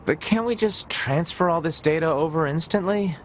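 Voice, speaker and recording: A man's voice: fairly high pitch, moderate pace, a little hiss or hum, natural voice. A man playing a naive, childlike younger-brother android in a video game, plain-spoken and impatient to play. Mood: childlike, restless. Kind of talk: English video game voice acting.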